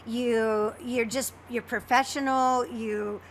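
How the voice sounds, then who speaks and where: A woman co-hosting a casual podcast interview, excitable and gushing, often repeating herself. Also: a little high, takes it slowly, a little hiss or hum. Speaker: podcast co-host.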